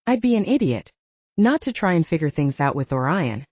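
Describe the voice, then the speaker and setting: A woman's voice: low voice, big pitch swings, moderate pace, darkish tone, breathy edge, no room sound, highs cut off. A woman narrating an audiobook, reading scripted fiction in the first person.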